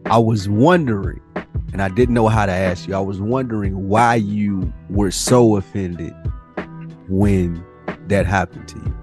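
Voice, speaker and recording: Brief: male podcast co-host, casual, profane, animated swings, music bed. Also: lowish voice, unhurried. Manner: animated, swears a lot, sing-song when worked up. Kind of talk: casual chat.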